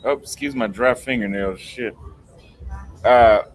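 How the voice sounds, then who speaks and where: A man's voice: mid-range voice, unhurried, a crowd in the background. A man hosting a casual livestream chat outdoors, laid-back and joking, with plenty of swearing. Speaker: livestream host.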